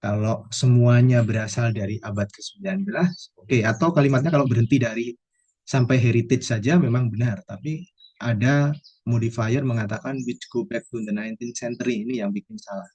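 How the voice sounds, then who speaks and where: Man, Indonesian accent, husky, dark-toned, instructional, explanatory, teacher posing and answering questions. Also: medium pitch, brisk. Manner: didactic, poses questions then answers them. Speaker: university English lecturer. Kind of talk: explanatory lesson, going through quiz answers.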